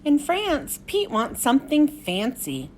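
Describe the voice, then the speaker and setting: Lively high-voiced woman reading to children. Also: slow, slight background noise. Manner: expressive, clearly addressed to young children. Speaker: children's read-aloud host. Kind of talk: storybook read-aloud narration for children.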